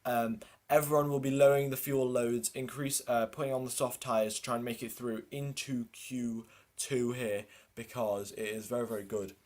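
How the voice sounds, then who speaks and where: A man's voice: medium pitch, steady tone, normal speed, darkish tone. A man hosting a livestream, giving running race commentary and reading out names and positions in clipped lists. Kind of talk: live race watchalong commentary.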